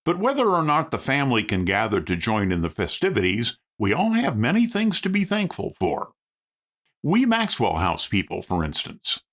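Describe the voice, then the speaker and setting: A man delivers a vintage American radio sponsor announcement. His medium-pitched voice moves in very wide, theatrical sweeps. The read is scripted and presentational, in an American accent. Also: wildly swooping pitch, moderate pace, fairly bright tone. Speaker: announcer reading sponsor message.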